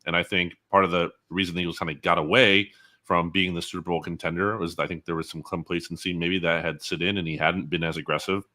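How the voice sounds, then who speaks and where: Deep-voiced man talking football, bright tone. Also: flat delivery, fast. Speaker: guest football analyst. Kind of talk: sports talk interview commentary.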